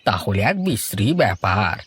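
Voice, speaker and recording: A man's voice: on the low side, lively intonation, normal speed, a bit bright, a little hiss or hum. A man playing a sardonic, blunt old tea seller in a village cartoon. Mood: chatty. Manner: scolding, needling. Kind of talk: Bengali cartoon voice acting.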